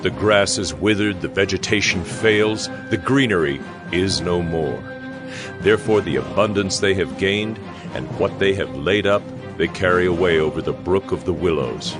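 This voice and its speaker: A man's voice: low-pitched, normal speed, music in the background. A man narrating scripture dramatically, with solemn, emphatic, declamatory delivery.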